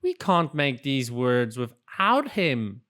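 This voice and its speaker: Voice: mid-range voice, big pitch swings, slow, fairly bright tone. A storybook reader voicing childlike little alphabet letters, earnest, exclaiming and easily upset. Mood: worried. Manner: plaintive, exclaiming.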